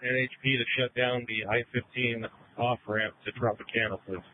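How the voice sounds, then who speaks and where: A male police officer gives orders over a police radio. His voice is of average pitch, heard through a thin, phone-like scanner band. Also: level tone, moderate pace.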